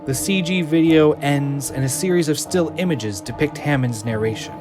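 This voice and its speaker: A man's voice: a little high, normal speed, music in the background. A man narrating an audio drama, reading scene descriptions from a screenplay in a scripted style.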